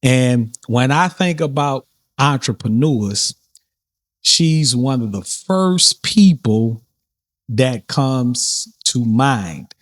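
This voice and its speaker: A man with a New Orleans accent hosts a casual podcast chat. He rambles easily, circling back with fillers and repeats, at a medium pitch. The sound is close and dry. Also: drawn-out words, dry recording. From New Orleans, USA. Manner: relaxed, repetitive, with many fillers and restarts. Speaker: podcast host.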